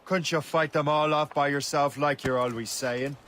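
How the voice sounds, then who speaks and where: An actor playing a cocky, wisecracking young Irish assassin in training in a video game cutscene. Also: male voice, fairly high pitch, steady tone, normal speed, a little hiss or hum, natural voice. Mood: sardonic. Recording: loud music under the voice.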